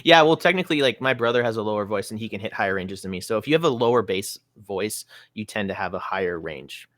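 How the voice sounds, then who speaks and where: A young man talks casually on a podcast, rambling and correcting himself as he thinks aloud. His voice sits low. The close mic gives a dry sound with no room echo. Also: expressive, fast, fairly bright tone. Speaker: musician guest.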